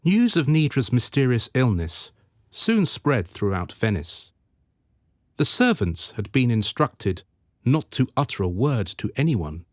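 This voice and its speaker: A man's voice: mid-range voice, big pitch swings, unhurried, no room sound, studio quality. A man playing a dreamy, solemn storyteller, narrating a scripted radio drama. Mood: storytelling.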